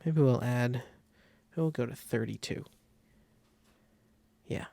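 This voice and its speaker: A man giving a casual software tutorial, talking informally and thinking aloud as he works, with a creaky, gravelly voice. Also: medium pitch, slow, dry recording. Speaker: design software tutorial host. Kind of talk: casual screen-recorded tutorial.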